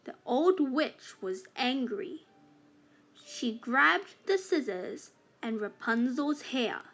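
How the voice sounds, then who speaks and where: A woman narrates a children's fairytale in a high voice. She reads very slowly, phrasing each part deliberately for young listeners. Soft music plays along behind her. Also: big pitch swings. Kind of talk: scripted read-aloud fairytale narration.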